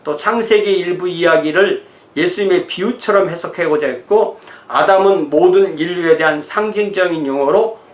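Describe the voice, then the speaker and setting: A man in his sixties with a slightly high voice lectures as a pastor. He explains things conversationally, moving between notes and informal asides. The sound is roomy and echoing. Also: moderate pace. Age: 60s. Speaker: pastor teaching church history.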